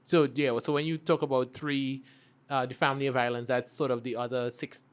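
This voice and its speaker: A man hosting a podcast interview, who listens attentively, nods along with frequent small replies, and asks searching, halting questions. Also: mid-range voice, flat delivery, smooth. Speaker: podcast host.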